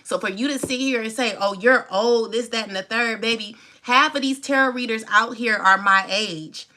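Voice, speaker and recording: She is a woman in her forties with a fairly high, bright voice that has a hard, forceful edge. She talks off the cuff to her audience as a personal monologue. Her delivery is defiant and emphatic, circling back on phrases to make them land. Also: normal speed. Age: 40s. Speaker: tarot reader and channel host. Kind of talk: unscripted personal monologue to viewers.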